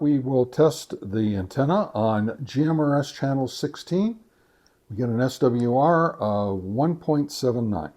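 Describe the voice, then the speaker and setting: A man hosting a casual gear test review, talking to viewers in a halting, methodical way. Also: mid-range voice, mellow. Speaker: host of a radio gear review channel.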